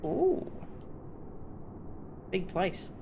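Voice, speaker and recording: Expressive, swooping woman streaming a game. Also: medium pitch, very slow, gravelly, background noise. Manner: expressive, exclamatory. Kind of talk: casual live playthrough reactions, reading dialogue aloud. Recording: game music behind the voice.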